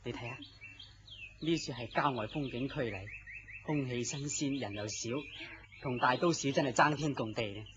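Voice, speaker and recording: A man's voice: mid-range voice, normal speed, close to an everyday voice. Man acting smitten, mild office worker, muffled audio. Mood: gentle, soothing. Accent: Hong Kong Cantonese. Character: smitten, mild young male office employee. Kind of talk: radio drama acting. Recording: muffled lo-fi audio with faint noise.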